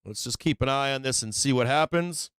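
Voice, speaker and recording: A man's voice: mid-range voice, fairly quick, a bit bright, clean tone, no room sound. A man hosting a live stock trading stream, chatting casually and bluntly in slangy, profanity-laced talk. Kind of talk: casual live stream chat and market commentary.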